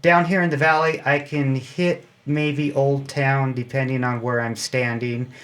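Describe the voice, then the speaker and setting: Casting: American man, mid-pitched, plain-spoken club member, casual explanatory interview style. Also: flat delivery, a little slow, a bit bright. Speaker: amateur radio club member, interview guest.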